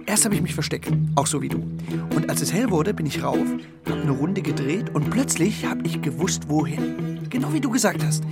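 An actor playing a scrappy, boastful young homing pigeon in a children's radio play, talking in clipped, offhand phrases. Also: male voice, a little high, animated, normal speed, vocal fry. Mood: breathless relief. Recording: guitar music behind the voice.

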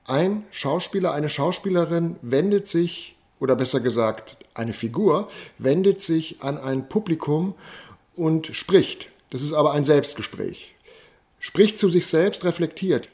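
A man with a somewhat high voice gives an analytical explanation as an interviewee. He speaks deliberately and refines his wording as he goes. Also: expressive, darkish tone. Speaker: theatre expert, interviewee.

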